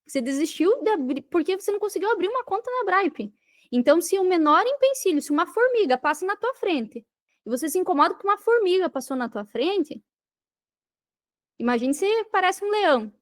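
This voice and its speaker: High-voiced Brazilian woman, emphatic. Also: sing-song, brisk. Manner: emphatic, repeats phrases for stress. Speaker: podcast guest, digital marketing mentor. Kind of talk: conversational interview, explaining and advising.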